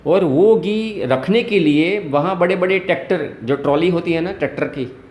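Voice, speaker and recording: A man from Gujarat, with a Gujarati accent, talks in a roomy, echoing call recording. His voice sits a little high. He explains things step by step in conversation, reaching for everyday examples. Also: moderate pace, darkish tone. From Gujarat, India. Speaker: host, activist caller.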